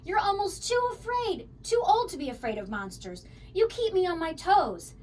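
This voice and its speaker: A woman's voice: extremely high pitch, big pitch swings, normal speed, darkish tone, far from the mic, a little hiss or hum, voice pushed up. A woman voicing a proud male storybook monster, courteous and playfully menacing with a fondness for the child. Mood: fond, hungry. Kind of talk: children's storybook read-aloud.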